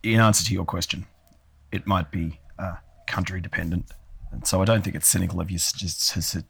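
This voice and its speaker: A man with a croaky, hoarse voice from a cold, chatting casually with dry, wry humor. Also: low-pitched, fairly even intonation, a little fast, a bit bright. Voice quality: croaky, gravelly voice. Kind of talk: casual chat. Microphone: held too far from the mic.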